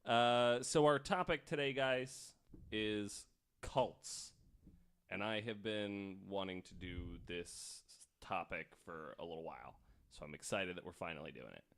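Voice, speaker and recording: A man's voice: on the low side, a little slow, gravelly, a bit bright, smooth. A man hosting a podcast, telling stories from notes in an informal, casual way. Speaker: podcast host.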